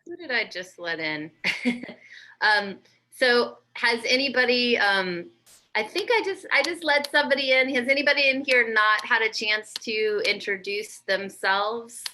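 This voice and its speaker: A woman organizing an online meeting, a little distracted and halting. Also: on the high side, bright, forceful tone, a little hiss or hum. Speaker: workshop organizer. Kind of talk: casual meeting chat.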